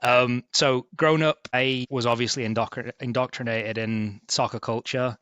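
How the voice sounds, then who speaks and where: Bright-voiced Yorkshire man, dry sound. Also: mid-range voice, steady tone, moderate pace, smooth, dry recording, lo-fi sound. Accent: Yorkshire English. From England, Hull in East Yorkshire. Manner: easygoing, self-deprecating storytelling. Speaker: guest, club group sales manager. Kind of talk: conversational podcast interview.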